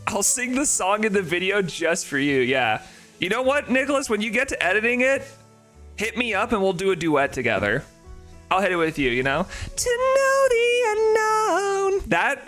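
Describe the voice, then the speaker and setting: A man with a nasal voice chatting casually on a live stream, rambling and excitable, with faint music behind him. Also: sits high, a little fast. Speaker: shiny-hunting live streamer.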